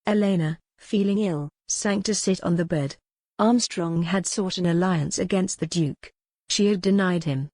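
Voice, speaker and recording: A woman's voice: on the low side, a little slow, darkish tone, no echo, studio quality. A woman reading scripted historical romance narration as an audiobook narrator.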